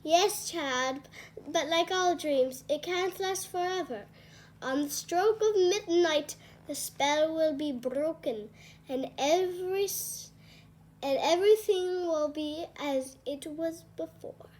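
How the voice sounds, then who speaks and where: Woman as a very high-voiced, kindly fairy godmother. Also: slow, a little mellow. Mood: gently warning. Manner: fond, fussing, urging.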